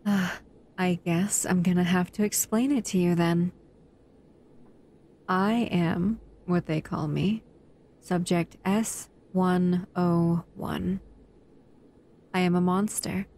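A woman's voice: fairly low pitch, steady tone, slow, pauses often, a little mellow. A woman voicing a dangerous, bored humanoid monster in an audio roleplay, speaking to the listener in a languid, teasing, menacing way. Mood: bored, imperious.

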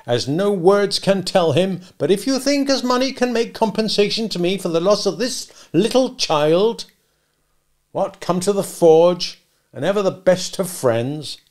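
A man reading the part of a big-hearted village blacksmith, speaking emphatically in a rough, plain-spoken manner. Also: high voice, animated, unhurried, darkish tone, pitched above the performer's own voice. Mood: tearful.

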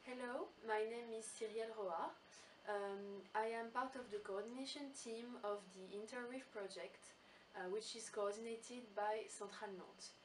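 A young woman on a project coordination team, speaking in a measured way with soft fillers. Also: a little high, steady tone, slight background noise. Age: young adult. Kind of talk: prepared statement. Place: indoor office. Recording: roomy echo, distant mic.

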